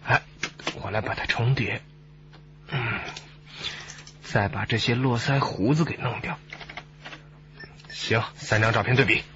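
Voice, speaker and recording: An actor with a Mainland Chinese Mandarin accent playing a chatty, joking junior detective in a radio drama. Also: male voice, mid-range voice, unhurried, pauses often, lightly acted. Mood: focused. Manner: exclamatory, teasing. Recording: slightly muffled broadcast with faint noise.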